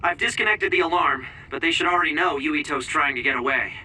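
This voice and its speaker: An actor playing a young psychic soldier in a video game. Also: male voice, on the high side, quick pace, sharp tone, breathy edge, far from the mic, heavily acted, poor audio. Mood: urgent, serious. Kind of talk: English video game cutscene dub.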